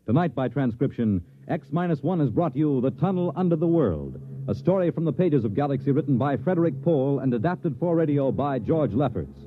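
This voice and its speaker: A man announcing in the formal, polished, emphatic manner of 1950s network radio. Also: mid-range voice. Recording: tinny vintage radio recording. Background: faint music.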